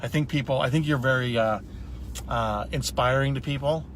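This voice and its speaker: A man's voice: medium pitch, normal speed, slight background noise. A man hosting a casual interview, chatty and enthusiastic, heaping praise on his guests. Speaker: host.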